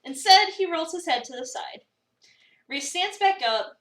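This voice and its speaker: A woman's voice: very high-pitched, expressive, measured pace, a bit bright, full character voice. A woman voicing an exasperated, sarcastic young cafe worker, narrating a visual novel with dramatic incredulity. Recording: roomy, slightly distant mic.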